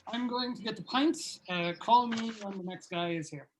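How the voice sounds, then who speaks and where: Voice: medium pitch, normal speed, natural voice. A performer playing a foul-mouthed, jaded male rock band member at an informal script table read, blunt and sardonic. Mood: weary. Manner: blunt, sweary. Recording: clear background noise.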